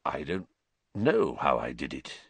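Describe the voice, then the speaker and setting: A man voicing a bitter, vengeful male wizard who speaks in a low, growling, venomous way. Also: animated, a little slow, fairly bright tone, no room sound, exaggerated voice. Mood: brooding.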